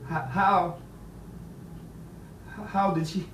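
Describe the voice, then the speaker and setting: A man's voice: high voice, steady tone. Actor playing a tormented lovelorn man, roomy sound. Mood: agitated disbelief. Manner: halting, repetitive, theatrical brooding. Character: grief-stricken, lovelorn brooding man tormented by lost love. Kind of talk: 1950s-style romantic drama monologue and dialogue. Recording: roomy, distant, faintly noisy stage sound.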